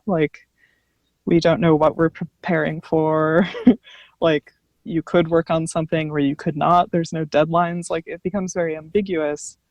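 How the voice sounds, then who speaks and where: A woman's voice: low-pitched, darkish tone, dry recording. Articulate woman chatting on a panel, dry sound. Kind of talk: casual panel discussion.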